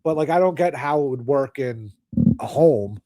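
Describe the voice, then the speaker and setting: A man's voice: medium pitch, lively intonation, normal speed, dark tone, dry recording. A man co-hosting a podcast in a casual, conversational style, reacting to his partner. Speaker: podcast co-host. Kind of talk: casual chat.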